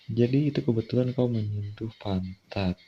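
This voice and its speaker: A man's voice: lowish voice, moderate pace, husky. An Indonesian man voicing a stern, haughty young woman in a visual novel, curt and confrontational. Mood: cold, accusing. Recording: dull lo-fi mic, music behind.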